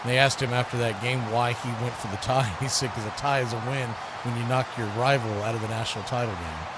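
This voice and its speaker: A man's voice: mid-range voice, fast, over a noisy crowd. A man doing live play-by-play commentary of a football game as a sports announcer. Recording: noisy live stream, clear background noise.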